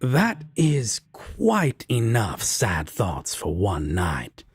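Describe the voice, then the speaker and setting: Casting: male actor as soft-hearted demon roommate, very husky and deep, unhurried, teasing, intimate audio roleplay. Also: huge pitch swings, heavily acted. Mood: playful.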